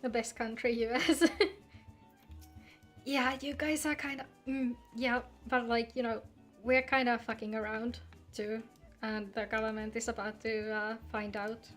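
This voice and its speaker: A woman's voice: a little high, level tone, a little slow, a bit bright. A woman chatting casually on a live game stream, with music playing behind her voice. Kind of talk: casual livestream chat mixed with reading game dialogue aloud. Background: background music.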